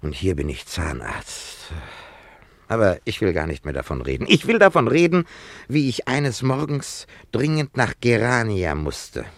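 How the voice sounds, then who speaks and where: Grumpy ancient-town dentist, low gravelly voice, sardonic, clipped emphatic phrasing, radio drama, dry close sound. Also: male voice, huge pitch swings, unhurried, dry recording, heavily acted. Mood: disdainful. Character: irritable, wine-loving male dentist in an ancient town. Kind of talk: German radio play, theatrical first-person narration.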